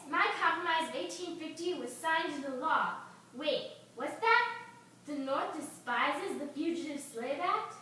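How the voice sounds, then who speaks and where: Woman playing a pompous, high-voiced male statesman. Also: sharp tone. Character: pompous, self-important male statesman puppet. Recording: echoey room, distant mic, faint noise.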